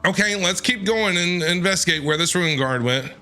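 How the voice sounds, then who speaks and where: Animated, high-pitched male game streamer. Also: normal speed, music in the background. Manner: playful, theatrical, talks back to characters. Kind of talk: gameplay reaction commentary.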